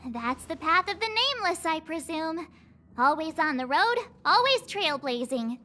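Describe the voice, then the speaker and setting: A woman voicing a perky, chatty, adventurous young girl in a video game, with a bubbly, expressive manner. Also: very high voice, a little slow, full character voice. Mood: cheerful. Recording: music behind the voice.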